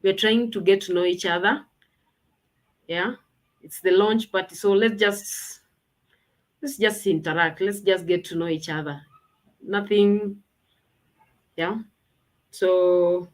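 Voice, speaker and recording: A woman's voice: fairly low pitch, slow, pauses often. A woman hosting a casual online launch party over a video call, chatty and thinking aloud as she talks. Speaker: host.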